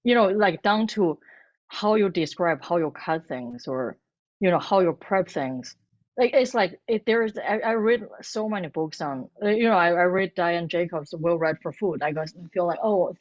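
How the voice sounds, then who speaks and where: A woman's voice: lowish voice, expressive. Woman author, chinese native, lots of restarts and fillers, kinda muffled recording. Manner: halting, many restarts and fillers. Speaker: cookbook author and food blogger. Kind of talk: bookstore author talk.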